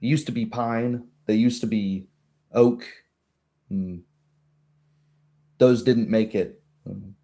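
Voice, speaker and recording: A man voicing a calm, musing tabletop game wizard who speaks tersely with thoughtful hums. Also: fairly low pitch, slow pace, long pauses. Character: calm male wizard, sparing with words, musing.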